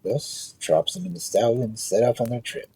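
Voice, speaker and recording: A man's voice: mid-range voice, animated, normal speed, mellow, breathy edge. A man working as a storyteller, reading a folk tale aloud.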